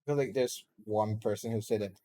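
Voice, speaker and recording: A man's voice: on the low side, a little fast, dark tone. A young man chatting casually with friends on a podcast, rambling with lots of restarts and fillers. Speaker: podcast co-host, student. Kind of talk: casual chat.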